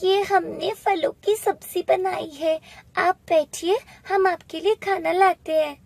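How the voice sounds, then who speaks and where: Woman voicing a very high-pitched pleading little girl. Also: steady tone, slow pace, vocal fry, darkish tone, full character voice. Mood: eager. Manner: pleading, emphatic, childlike. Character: orphaned little girls, pleading, sweet and eager. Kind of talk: animated moral story character voice.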